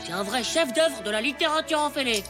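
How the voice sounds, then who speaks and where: A woman's voice: high voice, expressive, brisk, over loud music. A woman voicing a hot-headed, dramatic ten-year-old French schoolboy in a cartoon, exasperated and slangy. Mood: hesitant, proud. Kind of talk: French animated series dubbing.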